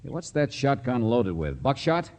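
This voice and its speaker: A man playing a tough, sharp-tongued private detective in an old American radio drama, needling and insistent. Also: mid-range voice, sing-song, moderate pace. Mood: confrontational, sardonic. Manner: hard-boiled, needling, insistent. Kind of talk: 1940s-50s American radio drama acting. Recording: vintage radio drama recording.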